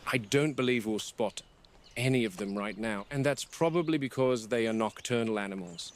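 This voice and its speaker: Man acting as a measured dinosaur park warden, noisy recording. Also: on the low side, natural voice. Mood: grave, measured. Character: serious male dinosaur park game warden giving a log. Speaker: animal handler. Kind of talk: scripted video log narration.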